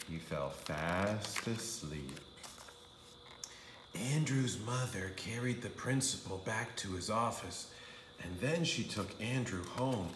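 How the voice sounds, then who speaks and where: Animated low-voiced man reading a storybook. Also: roomy sound, slight background noise. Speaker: kindergarten teacher reading aloud. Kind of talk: animated storybook narration for young children.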